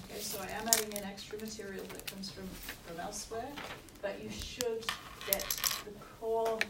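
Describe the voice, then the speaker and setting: Croaky woman lecturer explaining stuff, recorded far off in an echoey hall. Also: medium pitch. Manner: measured, explanatory, frequent "okay" and "um" checks. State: croaky, recovering from lost voice. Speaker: university lecturer. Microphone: room recording from far off. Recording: echoey hall, distant mic, noisy.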